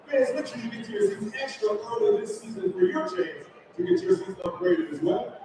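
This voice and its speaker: A man's voice: very high-pitched, wildly swooping pitch, fairly quick, crowd noise. A man reading scripted announcements over an arena public address system. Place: large indoor arena over loudspeakers. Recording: echoey arena PA heard from far off, noisy. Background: arena noise.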